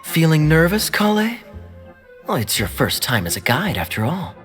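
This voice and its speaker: A composed, gently teasing young thief in a game. The voice sits low but moves expressively, with game music clearly behind it. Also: female voice, big pitch swings, moderate pace. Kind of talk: video game voice acting. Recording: game dialogue over music.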